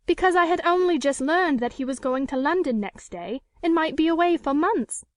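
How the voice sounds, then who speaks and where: Proud, indignant storybook lady, played by a woman narrator: very high pitch, formal, emphatic, close dry sound. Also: animated, moderate pace, dry recording. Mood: desperate, impassioned. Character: proud, defiant woman with an unhappy secret past. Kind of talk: dramatic audiobook character reading.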